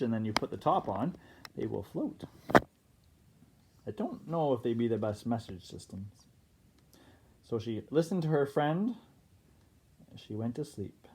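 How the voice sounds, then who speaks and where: A teacher reading a picture book aloud expressively, pausing for casual asides to young listeners. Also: mid-range voice, animated, noisy background.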